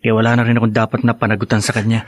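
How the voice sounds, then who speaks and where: A man's voice: fairly low pitch, monotone, very fast, no room sound, close to an everyday voice. An actor playing a weak-willed, guilt-ridden young man in an evasive radio drama style. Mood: defensive. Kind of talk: Filipino radio drama acting. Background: music in the background.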